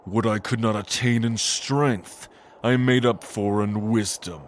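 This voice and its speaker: Guy acting as sanctimonious old angel tyrant, lowish voice, real slow menacing speech, audio drama, bit noisy behind. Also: steady tone, lightly acted. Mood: solemn. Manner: pompous, slow, menacing declamation. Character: sanctimonious, scheming ancient angel lord, old man.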